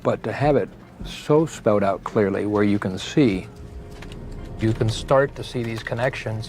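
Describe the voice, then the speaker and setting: A man's voice: medium pitch, slight background noise. A man who grew up in Ecuador, a former foreign bureau chief, speaking emphatically in an interview with rhetorical questions. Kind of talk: documentary interview.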